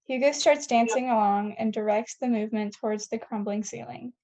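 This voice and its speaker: A woman's voice: on the high side, steady tone, a little fast, mellow. A woman reading scene headings and stage directions as narrator of a table read, on a dull lo-fi recording.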